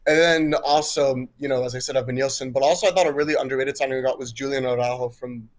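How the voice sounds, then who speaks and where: A male caller with an international accent, American mixed with his Swiss upbringing. He talks in eager bursts, full of fillers, over a video call. His pitch sits mid-range. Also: steady tone, fast. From Switzerland. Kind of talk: casual football chat.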